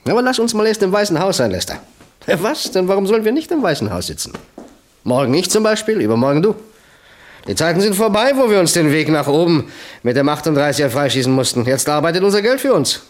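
An actor playing a chummy, boastful small-time gangster boss in a radio crime drama, talkative and jovial. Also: male voice, fairly high pitch, big pitch swings, fast. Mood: boastful, fired up.

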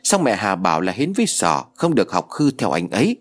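A man's voice: lowish voice, wildly swooping pitch, a little fast, soft background music. A man voicing a chatty, clingy little girl in a read-aloud story, pleading in a whiny child's way. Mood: insistent.

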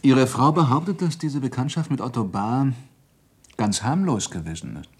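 A man playing a firm, courteous police inspector in a German crime radio drama, formal and insistent, with a husky voice. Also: medium pitch, expressive, moderate pace. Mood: firm, probing.

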